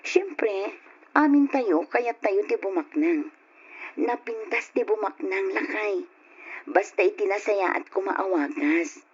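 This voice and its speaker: An actress playing a conscientious, gently reproachful wife in an old radio drama, with an Ilocano accent. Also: female voice, mid-range voice, expressive, slow, husky. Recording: muffled old radio recording, faint noise.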